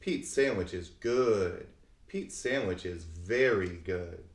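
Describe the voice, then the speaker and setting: A man reads a picture book aloud for young children in a roomy, echoing space. His delivery is unhurried and deliberate, with a highly animated pitch that leans into key words for dramatic emphasis. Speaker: read-aloud host.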